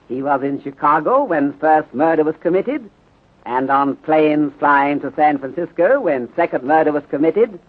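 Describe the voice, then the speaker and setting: An actor playing a calm, courteous, bulky detective inspector in a 1930s radio drama, speaking formal, deliberate English with dropped articles. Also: male voice, on the high side. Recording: tinny narrow-band 1930s radio recording.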